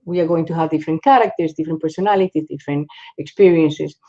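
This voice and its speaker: A woman from the Republic, speaking as a psychologist guest in a panel discussion, halting, with many fillers and restarts. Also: sits low, warm tone. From Dominican Republic. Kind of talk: panel discussion on a video call. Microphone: video call. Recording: dull lo-fi call audio.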